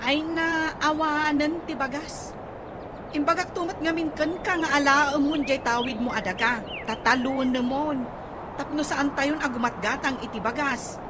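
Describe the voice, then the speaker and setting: A woman's voice: sits high, steady tone, normal speed, natural voice. A woman with an Ilocano accent playing an agitated, exclaiming wife in a radio comedy drama, riled over family matters. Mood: indignant complaining. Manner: exclaiming, indignant. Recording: noisy outdoor ambience with birdsong.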